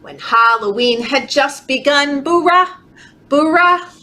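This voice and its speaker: A woman leading a children's story time, reading aloud in an animated, encouraging, sing-song way, as if talking to toddlers. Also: high voice, takes it slowly. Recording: clearly noisy background.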